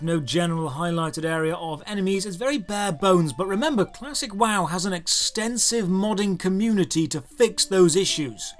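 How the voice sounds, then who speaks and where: A man narrating a scripted video game review as its host, with a dry, sardonic and deliberate way of putting things. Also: high-pitched.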